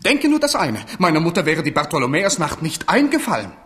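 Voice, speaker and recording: Capricious king, high voice, wildly swinging. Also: huge pitch swings, quick pace, a bit bright. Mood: plaintive, weary. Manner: volatile, swinging from outbursts to plaintive murmurs. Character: capricious, wounded, high-strung French king. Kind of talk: German radio play acting, theatrical.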